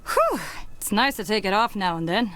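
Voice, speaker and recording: A woman's voice: medium pitch, huge pitch swings, normal speed, fairly bright tone. A woman voicing a brave, supportive young female Smurf in a cartoon video game, spirited and reassuring. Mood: casual.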